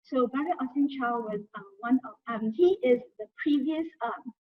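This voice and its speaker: Soft high-voiced woman, husky, chatty, sounds tinny like over a phone. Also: expressive, moderate pace. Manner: chatty, leans on "you know". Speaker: guest volunteer speaker. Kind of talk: casual talk to a study group.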